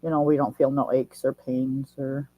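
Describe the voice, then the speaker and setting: A woman's voice: very deep voice, level tone, normal speed, no echo, highs cut off. A woman hosting a casual online chat, sounding a little nasal from a head cold and telling things in a chatty, folksy way. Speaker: host.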